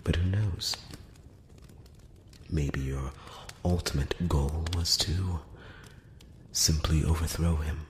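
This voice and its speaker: A solemn elvish emissary, played by a man, whispers in an extremely low voice, close to the mic. His delivery is slow, formal and probing, with deliberate pauses. The room echoes around him over a faint hiss, in the style of a fantasy ASMR roleplay. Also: steady tone. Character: elf emissary, male, solemn, measured and probing. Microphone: close-up microphone.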